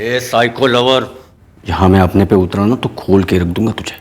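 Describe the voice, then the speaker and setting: Actor playing tough blunt cop guy, lowish voice, tv crime drama, music behind. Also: normal speed, natural voice. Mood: menacing, sarcastic. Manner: hard-edged, interrogating, matter-of-fact. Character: stern, blunt male police investigator. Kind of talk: Hindi TV crime drama acting.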